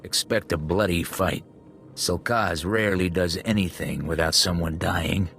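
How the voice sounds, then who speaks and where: An actor playing a grave, businesslike military officer briefing on a crisis in a video game. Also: male voice, deep voice, a little slow, fairly bright tone, soft background music. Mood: urgent. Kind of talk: video game cutscene acting.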